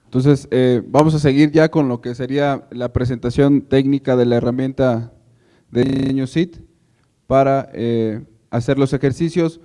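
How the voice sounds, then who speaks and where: A man's voice: mid-range voice, steady tone, moderate pace, warm tone. A man giving unscripted instructions to attendees as a workshop organiser.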